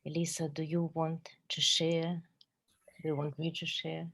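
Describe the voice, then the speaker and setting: A woman, a trauma therapist on a video call, speaking calmly with frequent pauses. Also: low-pitched, soft background music. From Russia. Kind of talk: casual self-introduction.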